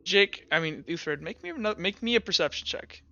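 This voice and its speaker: A man running a tabletop game, improvising narration and chatting casually in a halting way, often repeating words. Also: sits high, animated, vocal fry, sharp tone, dry recording, muffled recording. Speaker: game master.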